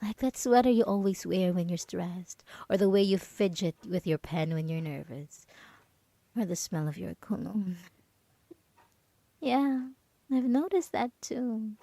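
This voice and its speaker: A woman playing a smug, possessive, manipulative yandere roommate in an ASMR roleplay, taunting deliberately and at times slipping into a whisper. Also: mid-range voice, animated, creaky, mellow, no echo. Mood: obsessive, confiding. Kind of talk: ASMR roleplay monologue, acted.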